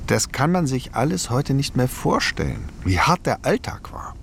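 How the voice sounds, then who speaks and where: A man's voice: mid-range voice, big pitch swings, moderate pace, vocal fry, noisy background. An actor playing an older man who reflectively recalls his childhood in a German radio play. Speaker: eyewitness narrator in a radio documentary drama.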